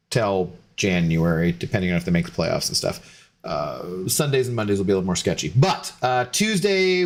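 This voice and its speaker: A young man, a game streamer, chatting loosely with viewers while tipsy, rambling with many fillers and restarts. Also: mid-range voice. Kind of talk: casual stream chat to viewers.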